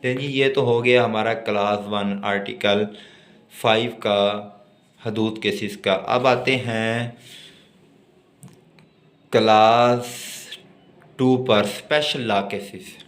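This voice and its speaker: A man with a Pakistani accent giving an explanatory law lecture, reading out and explaining in a deliberate, instructive way. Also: lowish voice, steady tone.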